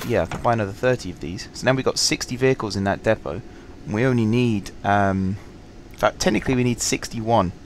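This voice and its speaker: Hoarse gaming host fighting a cough. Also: male voice, on the low side, a little hiss or hum. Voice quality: slightly husky. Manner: rambling, apologetic, lots of ums. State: sore throat, fighting coughs. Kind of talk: casual let's-play commentary. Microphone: headset.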